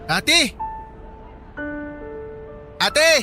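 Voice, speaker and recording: A man's voice: very high voice, very animated, extremely slow, music in the background, exaggerated voice. A man with a Filipino accent playing an earnest, wounded young half-brother who works as a porter in a radio drama. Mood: eager. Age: young adult. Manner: pleading, gentle. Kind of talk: dramatized radio love story.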